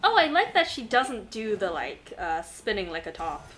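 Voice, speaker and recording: Bright-voiced woman casually commentating a game. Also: medium pitch, big pitch swings, moderate pace, slight background noise. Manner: playful, rambling asides to herself. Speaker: video game streamer. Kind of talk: casual gameplay commentary, reading game text aloud.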